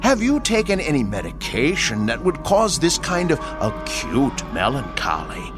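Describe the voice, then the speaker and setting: A man playing a sinister tormentor in a radio drama, menacingly polite, deliberate and taunting. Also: mid-range voice, wildly swooping pitch. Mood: relentless, mocking. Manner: taunting, deliberate, drops into whispers. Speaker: actor. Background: music.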